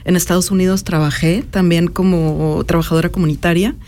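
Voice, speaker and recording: A woman, talking thoughtfully as an interview guest and pausing often with filler sounds. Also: lowish voice, steady tone, mellow. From Mexico. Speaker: interview guest, artist and educator. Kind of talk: personal reflective conversation.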